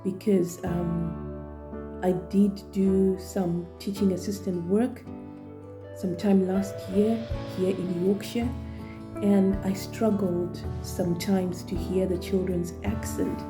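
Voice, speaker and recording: A woman in her forties from Zimbabwe, with a Zimbabwean accent, talking thoughtfully in a personal vlog with many pauses and fillers. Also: mid-range voice, flat delivery, over music. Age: 40s. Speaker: vlogger and trainee teacher.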